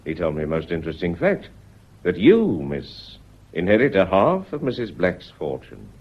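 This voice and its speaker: An actor playing a courteous, dogged police inspector in a period mystery radio drama, politely and deliberately probing. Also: male voice, deep voice, huge pitch swings, slightly rough, lo-fi sound, exaggerated voice. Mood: sly, polite. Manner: polite, deliberate questioning with frequent "sir" and "miss".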